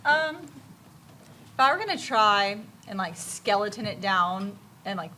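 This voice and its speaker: A woman's voice: medium pitch, lively intonation, takes it slowly, bright, forceful tone. A woman panelist at a live convention giving informal, emphatic advice in short, broken-up pieces. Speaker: panelist, animation creator. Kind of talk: informal explanatory panel talk. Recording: noticeable ambient event noise.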